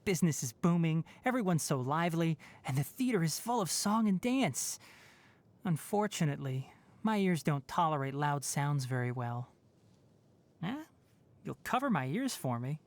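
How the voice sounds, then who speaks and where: An actor playing a young male forest ranger with fox ears and a tail, composed, wry and knowledgeable, in video game voice lines. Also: fairly high pitch. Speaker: game character.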